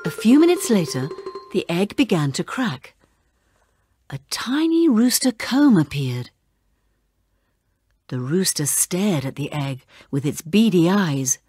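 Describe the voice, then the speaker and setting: A woman narrating a children's read-along story in an expressive way. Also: lowish voice, slow pace, pauses often, slightly rough, no room sound, studio quality, faint music behind.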